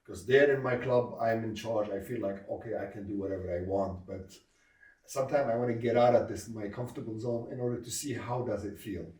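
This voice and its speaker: A man speaking English as a second language, a comedy club founder telling stories in a casual interview, earnest and pausing often on fillers. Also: on the low side, steady tone, dark tone, far from the mic. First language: neither English nor Swedish. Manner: hesitant, many filler pauses, earnest. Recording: echoey room, voice off-mic.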